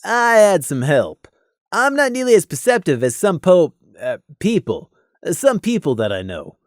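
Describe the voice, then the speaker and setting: A narrator plays a stallion guard in a pony story, serious and patient. His talk is blunt but kind, with an expressive rise and fall and a dry, close sound. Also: mid-range voice, measured pace, no room sound, voice pushed up. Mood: modest. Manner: blunt, patient, without accusation.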